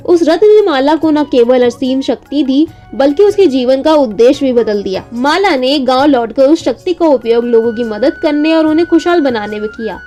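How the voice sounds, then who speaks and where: A woman's voice: high voice, lively intonation, normal speed, hardly any pauses, mellow. A woman narrating a scripted children's bedtime story as the storyteller. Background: music.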